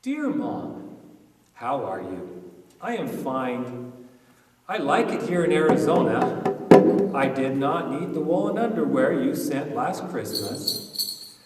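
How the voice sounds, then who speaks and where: A man's voice: mid-range voice, sing-song, slow pace, pauses often, music in the background. A man voicing an earnest, plain-spoken, slightly awkward young pioneer bachelor in a story reading. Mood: matter-of-fact. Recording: echoey hall, distant microphone.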